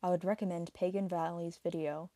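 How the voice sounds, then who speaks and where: A woman's voice: lowish voice, fairly even intonation, brisk, mellow, no room sound. A woman reading a scripted explainer narration for an online video.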